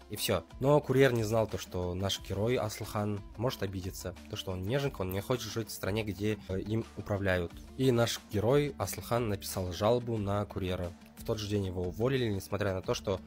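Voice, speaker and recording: A man's voice: lowish voice, a little mellow. A man hosting his channel, giving emphatic, opinionated commentary in a news style. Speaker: channel host. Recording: faint music behind.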